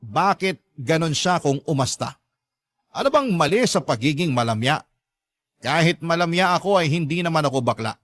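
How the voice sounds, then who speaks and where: A man's voice: fairly high pitch, a bit bright, no room sound, studio quality. A man reading a scripted first-person story as a dramatic narrator.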